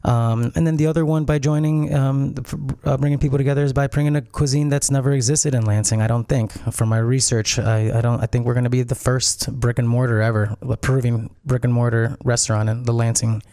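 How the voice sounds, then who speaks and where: A man's voice: medium pitch, little pitch movement, brisk, hardly any pauses, warm tone, clear, resonant tone, dry recording. A man from Peru, a restaurant founder and interview guest, explaining at length in a thoughtful, easy way. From Peru and Miami. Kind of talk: casual interview.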